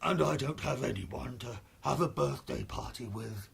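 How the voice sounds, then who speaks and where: A storyteller voicing a sad, gentle, lonely male wolf in a subdued, hesitant way for a children's bedtime story. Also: medium pitch, moderate pace, gravelly, slightly rough, voice pushed down, full character voice.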